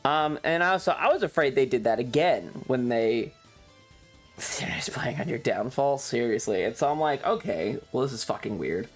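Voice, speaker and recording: A streamer rambling casually through a personal story in an exasperated, sweary way, with halting, repetitive phrasing. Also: medium pitch, animated, breathy edge, soft background music.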